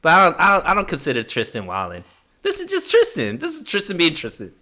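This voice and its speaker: A man with a bright, sharp voice talks in casual podcast chat. His pitch jumps all over as he makes his points in an emphatic, slangy way. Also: wildly swooping pitch, fast. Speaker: podcast co-host.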